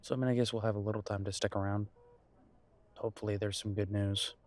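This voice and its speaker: Actor playing a low-voiced, hesitant young boyfriend. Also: male voice, level tone, lightly acted. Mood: reassuring, nervous. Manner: hesitant, halting, sighing delivery with soft chuckles. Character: nervous, reassuring grown son visiting his mother, affectionate boyfriend. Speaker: voice actor in audio roleplay. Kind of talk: intimate audio roleplay acting.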